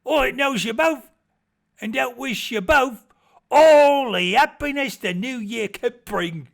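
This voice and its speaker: A man voicing a hearty, exuberant drummer who proclaims a wedding toast with booming, drawn-out delight. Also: gravelly, a bit bright, heavily acted. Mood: hearty, jubilant.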